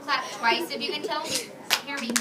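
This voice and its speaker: A woman's voice: high-pitched, steady tone, moderate pace. A woman teacher doing an interactive classroom read-aloud, instructive, carefully enunciating vocabulary for young pupils. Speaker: second-grade teacher. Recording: echoey classroom, distant mic, noisy background.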